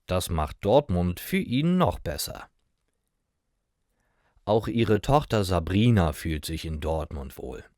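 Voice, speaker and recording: A man's voice: low voice, very animated, takes it slowly, pauses often, a little mellow, dry recording, clean studio sound. A man reading a simple scripted story as a narrator for beginner language learners, in short, careful sentences. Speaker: narrator of German learning stories.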